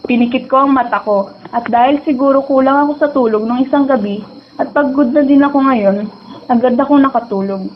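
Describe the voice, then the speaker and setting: Woman acting a dreamy, high-voiced Filipino schoolgirl, tinny sound. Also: brisk, close to an everyday voice. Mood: drowsy, reflective. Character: dreamy teenage schoolgirl who prefers dreams to reality. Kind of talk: radio drama dialogue and first-person narration. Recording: tinny narrow-band audio with music behind.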